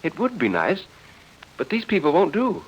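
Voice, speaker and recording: Genteel coaxing wife, voiced by a man, lifted pitch. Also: wildly swooping pitch, moderate pace, breathy edge, heavily acted. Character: poised suburban wife, genteel, coaxing, evasive. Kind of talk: 1940s radio drama acting. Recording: dull vintage 1940s radio recording.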